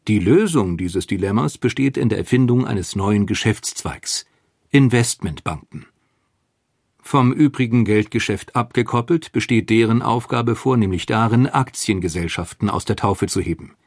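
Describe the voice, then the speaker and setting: A man narrating a history documentary in a calm, even, scripted way. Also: on the low side, normal speed, no echo, studio quality.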